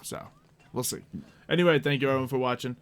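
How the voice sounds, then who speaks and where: A man's voice: medium pitch, steady tone, quick pace, clear, resonant tone. A man hosting a tabletop game stream as game master, chatting casually and jokily. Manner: jokey, laughs often.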